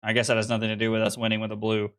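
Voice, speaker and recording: Bright-voiced streamer in his late twenties. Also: fairly low pitch, completely flat delivery, rapid-fire, smooth. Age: 20s. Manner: rambling and self-deprecating, frequent restarts. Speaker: card game streamer. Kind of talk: casual live-stream chat with viewers.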